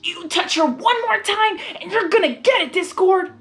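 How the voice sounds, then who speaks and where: Woman as a feisty, high-pitched young pony. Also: moderate pace, a bit bright, soft background music. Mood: defiant. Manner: defiant, punchy. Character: feisty, loyal young female flying pony, fiercely protective.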